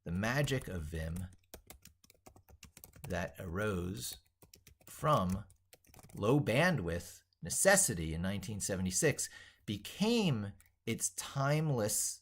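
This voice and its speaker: Low-voiced man, emphatic and repetitive. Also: big pitch swings, takes it slowly, long pauses. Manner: emphatic, declarative, repeats and reworks phrases. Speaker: tech educator presenter. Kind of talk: drafting and reading aloud an opinionated monologue while typing.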